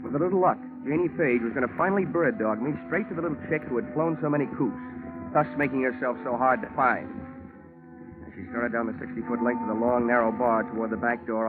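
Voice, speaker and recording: A man's voice: mid-range voice, close to an everyday voice. An actor playing a cynical, wisecracking male insurance investigator in dry, slangy 1940s radio detective style. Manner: hard-boiled, dry, wisecracking. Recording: tinny old radio broadcast with music.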